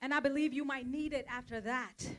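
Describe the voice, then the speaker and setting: A woman emceeing an outdoor rally in a park, energetic and coaxing as she introduces the next speaker. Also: sits high, brisk. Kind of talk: emceeing and chant leading.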